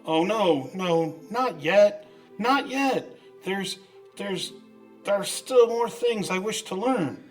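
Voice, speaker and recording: A frightened old miser acted by a man pleads slowly in a high male voice. It is old-time radio drama, with faint music underneath. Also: animated, poor audio. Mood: alarmed pleading.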